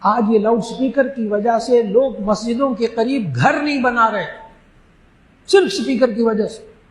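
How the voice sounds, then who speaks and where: A man from Pakistan, an Islamic scholar, giving an emphatic religious talk that answers questions and slowing down to stress key phrases. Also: very high-pitched. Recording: echoey room.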